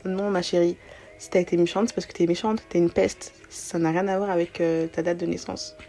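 A young woman in her early twenties speaks casually as a solo podcast host. Her voice is soft-edged and mellow, sitting a little low for a woman, with music present. She is a non-native French speaker with an informal, slangy way of talking. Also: little pitch movement, fairly quick. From born outside France, moved to France as a young child. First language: not French. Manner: informal, slangy, sprinkles in English words. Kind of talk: casual solo podcast, answering self-introduction questions.

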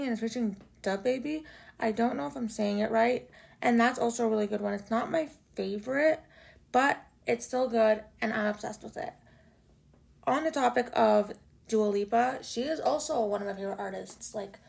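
A young woman from Canada with a low, raspy voice hosts a casual solo podcast, chatty and swearing freely, with lots of "like" and "y'all". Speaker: podcast host. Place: home room in Toronto.

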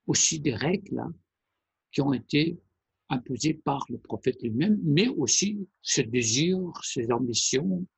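Male presenter, measured and hesitant, husky low-energy delivery with a dry sound. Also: expressive, dry recording, rough recording. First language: Persian. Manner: deliberate, halting phrasing with pauses. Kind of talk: explanatory monologue.

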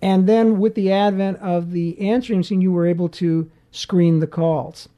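A middle-aged man on a radio talk show, speaking in a measured, halting way with restarts. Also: high voice, mellow. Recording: dull lo-fi sound.

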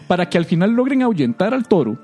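Animated, high-pitched Costa Rican man. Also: fast talker, slightly rough, faint music behind. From Costa Rica. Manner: animated, exaggerating, acts out reactions; slangy, swearing. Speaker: comedy podcast host. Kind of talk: casual comic storytelling and banter.

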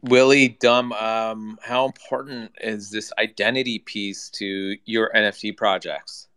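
A man's voice: fairly low pitch, little pitch movement, unhurried, fairly bright tone, smooth. A man moderating a panel, casually putting questions to the speakers.

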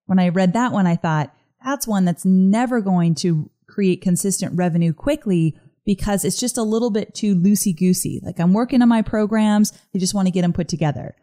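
A woman hosting a casual solo podcast, chatty and upbeat, her voice a little gruff this morning. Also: medium pitch, moderate pace, hardly any pauses, mellow. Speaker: podcast host.

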